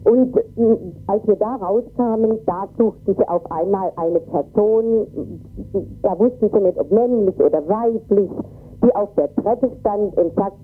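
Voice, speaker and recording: A distressed woman giving an agitated, halting eyewitness account in an interview, often repeating words and tagging her sentences with "ne". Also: mid-range voice, husky, phone-quality sound. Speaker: interviewee, volunteer refugee worker.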